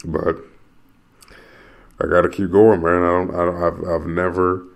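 A man's voice: deep voice, little pitch movement, measured pace, vocal fry. A young American man hosting a solo podcast, rambling and restless, repeating himself and cursing as he rants. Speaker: podcast host.

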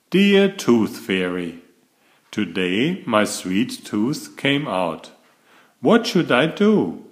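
A man reading a children's picture book aloud, raising his pitch for the girl's lines.

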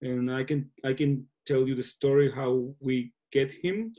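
Mellow-voiced man, halting English. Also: medium pitch, highs cut off. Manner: halting, searching for words. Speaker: filmmaker being interviewed. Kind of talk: casual Q&A on a video call. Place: small room at home. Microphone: laptop video call.

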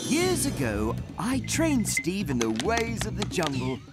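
A man's voice: high voice, wildly swooping pitch, measured pace, heavily acted. A man voicing a refined, worried talking ape who mentors a jungle king, speaking in an articulate, earnest, theatrical way in a cartoon. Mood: regretful, earnest. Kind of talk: cartoon voice acting. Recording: music behind the voice.

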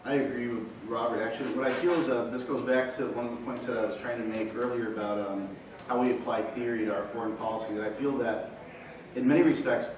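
A man's voice: medium pitch, steady tone, fast, background noise. Man speaking on an echoey conference panel. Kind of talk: panel discussion. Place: large conference room. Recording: echoey room, far from mic.

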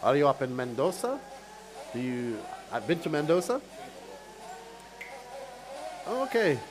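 A man's voice: on the high side, sing-song, a little slow, rough recording. A man from Bermuda with a Bermudian accent, working as a race commentator and chatting casually with live-stream viewers. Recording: clear background noise, outdoor event ambience.

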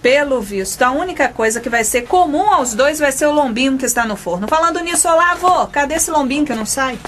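A woman's voice: sits high, lively intonation, a little fast, a bit bright, a little hiss or hum. An actress playing a bossy, exasperated young Brazilian mother in a comedic radio soap, emphatic and sarcastic. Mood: pleading.

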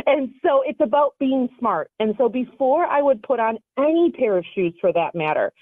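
A woman guest on a radio show giving casual, energetic advice, talking over a phone line. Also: high-pitched, normal speed, dry recording. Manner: energetic, chatty, self-correcting. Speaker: radio show guest, foot health coach. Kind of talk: casual explanatory radio talk.

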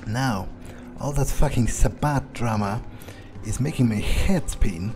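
A man's voice: mid-range voice, a little slow. A man roleplaying as his video game character, musing aloud in an aloof, profane way, close to the microphone. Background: faint game music.

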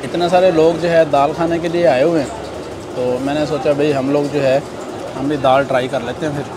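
A man's voice: on the high side, level tone, fairly quick. Chatty Pakistani man vlogging roadside. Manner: chatty, fills talk with "jo hai na", addresses viewers as brothers. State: tired after an all-night ride. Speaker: travel vlogger. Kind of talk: casual travel vlog to camera. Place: outdoors by a highway and in a roadside restaurant. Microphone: clip-on mic, selfie camera at arm's length. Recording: outdoor traffic noise behind.

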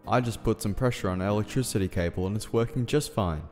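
A man's voice: lowish voice, fast, a little mellow, lightly acted. An actor playing an earnest, pedantic male scientist with a stiff, formal, hesitant manner in a radio play. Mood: matter-of-fact. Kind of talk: radio play character dialogue. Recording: long reverb, music behind. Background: faint background music.

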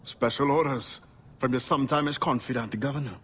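An actor playing a resolute, defiant former slave turned pirate Assassin in a video game, grave and emphatic. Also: male voice, mid-range voice, a little fast, fairly bright tone, breathy edge. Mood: grim. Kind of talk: dramatic video game acting.

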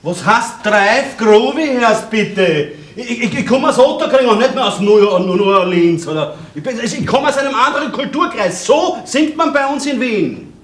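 An actor with a Viennese accent playing a touchy former pub singer from Vienna, quick to bristle and talk back. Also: male voice, very high-pitched, animated, a bit bright, roomy sound. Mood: indignant. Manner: touchy, defensive, rapid outbursts. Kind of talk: dubbed TV series acting.

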